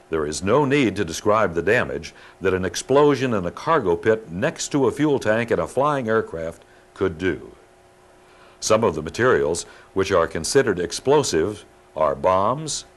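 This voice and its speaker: A man narrating a scripted instructional training film in a measured, formal, instructive manner. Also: lowish voice.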